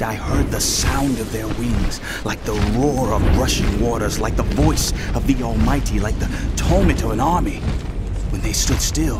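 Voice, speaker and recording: Male actor as awestruck prophet for audio scripture drama. Lower register, reverent, measured storytelling over prominent score. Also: close to an everyday voice. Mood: reverent wonder. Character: awestruck male prophet recounting his visions. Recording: loud music and effects behind voice.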